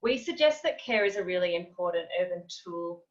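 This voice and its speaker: A woman reading a prepared academic talk on a video call, in a measured, earnest way. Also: fairly low pitch, animated. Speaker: panel speaker, researcher. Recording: dull lo-fi video call audio.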